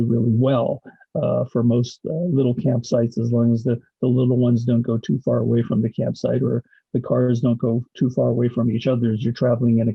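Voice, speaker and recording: A man presents informally, like an instructor explaining slides. His voice is husky with a noticeable creak, and he pauses often mid-sentence as he explains. The recording is somewhat dull and lo-fi. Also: mid-range voice. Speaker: college instructor and radio club advisor giving a presentation.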